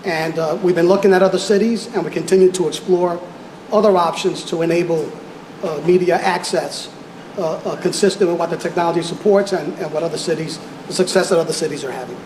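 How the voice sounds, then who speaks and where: Male official, press briefing. Measured, halting, repetitive phrasing; roomy echo, noisy recording. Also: a little high, a little mellow. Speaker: police official giving press conference. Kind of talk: press conference answers. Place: indoor press conference room. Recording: echoey noisy press conference room.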